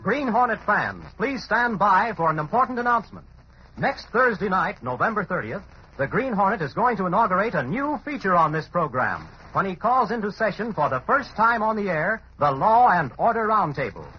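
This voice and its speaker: A man's voice: high voice, big pitch swings, moderate pace. A man announcing and narrating a radio serial in dramatic, scripted 1930s style. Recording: muffled, hissy old radio broadcast.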